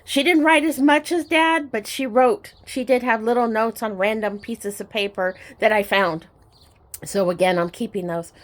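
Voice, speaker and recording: A middle-aged woman sharing personal memories in a casual, reflective, sentimental and halting way, like a vlogger talking to her viewers. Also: on the high side. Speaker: storytelling vlogger. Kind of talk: personal reminiscing to camera, casual chat.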